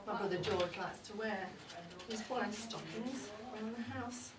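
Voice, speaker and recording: A woman's voice: mid-range voice, a little slow, natural voice. Female performer as older, cheeky Christmas gossip; bawdy, confiding live comic monologue; echoey room, audible audience. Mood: gossipy, cheeky. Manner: wry, bawdy, confiding, chatty. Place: indoor live event at a pub. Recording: roomy, distant live recording with audience noise. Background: audience crowd.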